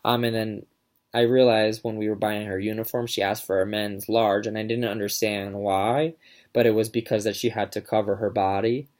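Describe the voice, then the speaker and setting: A man's voice: lowish voice, little pitch movement, normal speed, a little mellow. A young man giving a casual class presentation, talking in a hesitant way with many fillers and restarts. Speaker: student presenter.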